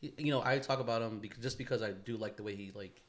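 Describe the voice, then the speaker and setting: Male podcast host, quick pace, mid-range pitch, loose and slangy casual chat. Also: a bit bright. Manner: informal, slangy, says bro and dude often.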